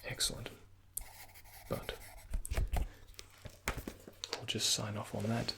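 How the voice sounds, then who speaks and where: A soft-spoken man with a breathy, often half-whispered voice, playing a doctor in an ASMR roleplay and giving gentle instructions and reassurance. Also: fairly low pitch, completely flat delivery, slow, noisy background.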